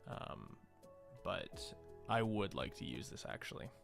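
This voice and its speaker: A man's voice: low voice, lively intonation, measured pace, creaky, a bit bright, soft background music. A man casually narrating his work on a livestream, musing and thinking aloud in hesitant fragments. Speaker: streamer and visual artist. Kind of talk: casual livestream commentary while working on screen.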